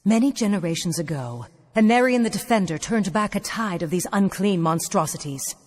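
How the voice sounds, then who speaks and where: An actress playing a proud, solemn elf war leader in a fantasy video game, speaking in a grave, formal manner. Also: female voice, medium pitch, animated, normal speed, dry recording, natural voice. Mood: stirring encouragement. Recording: music clearly behind the voice. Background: game music.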